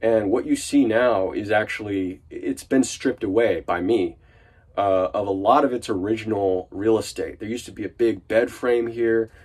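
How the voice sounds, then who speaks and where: A man's voice: on the low side, normal speed, a little mellow, husky. A man with a nasal voice from a cold, rambling casually through a vlog update from inside an unfinished van. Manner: rambling and chatty, lots of fillers and restarts. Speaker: vlogger.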